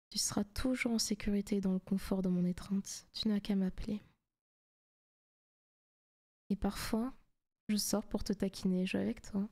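Slow, whispery demon girlfriend, acted by a woman. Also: mid-range voice. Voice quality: soft, breathy, often whispered. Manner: slow, intimate, teasing. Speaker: ASMR roleplay performer. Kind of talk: whispery ASMR roleplay.

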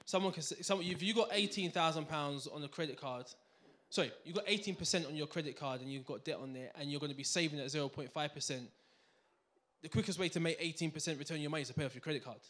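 A man gives a seminar talk on a handheld mic in a community hall. He speaks fast in a slightly high voice, animated and keen to draw in the crowd. He keeps checking in with "right? yeah?" as he goes. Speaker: finance speaker. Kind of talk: lively seminar talk to an audience. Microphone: handheld microphone.

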